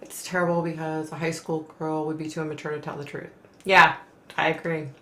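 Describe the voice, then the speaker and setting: A middle-aged woman hosting a casual livestream from home, reading viewer comments aloud and reacting in a chatty, rambling way while her voice goes hoarse. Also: deep voice, little pitch movement, fairly quick, sharp tone, reverberant. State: losing her voice.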